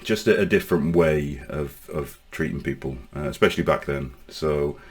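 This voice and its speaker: A man in his forties from Northern Ireland with a mostly English accent, telling his life story to camera in a halting way, with many pauses and restarts. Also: very deep voice. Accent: English with Northern Irish traces. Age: 40s. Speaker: online safety vlogger.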